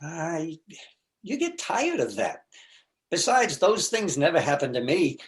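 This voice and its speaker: A man playing a neurotic, self-pitying jilted husband in a stage comedy reading, meek and halting. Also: fairly high pitch, wildly swooping pitch. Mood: mournful, self-pitying. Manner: self-deprecating, halting, stammering. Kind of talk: stage comedy readers theater.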